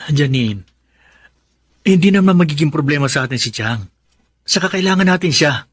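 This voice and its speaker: A man's voice: on the high side, expressive, moderate pace, slightly rough, no echo, clean studio sound. A man playing an affectionate young husband in a Filipino radio drama, teasing and coaxing yet firm about family. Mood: puzzled, reasoning.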